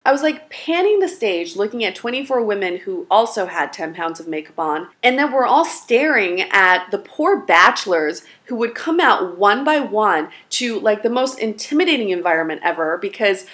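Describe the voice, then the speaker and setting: A woman's voice: medium pitch, very animated, normal speed, hardly any pauses, a bit bright. A young woman from China, a native Mandarin speaker, giving dating advice in a chatty, sarcastic, long-winded vlog. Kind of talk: casual direct-to-camera vlog.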